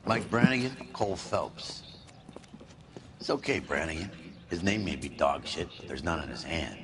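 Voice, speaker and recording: Low, bitter hardboiled detective. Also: male voice, expressive, moderate pace. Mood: world-weary, dry. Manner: curt, sarcastic, world-weary. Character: gruff, bitter, hardboiled older male arson detective partner. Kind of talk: cinematic video game acting. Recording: noisy game audio capture.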